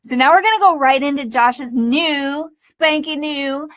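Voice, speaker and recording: High-voiced woman coaching informally. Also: measured pace, a bit bright. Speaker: voice-over coach, host. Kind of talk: casual teaching talk.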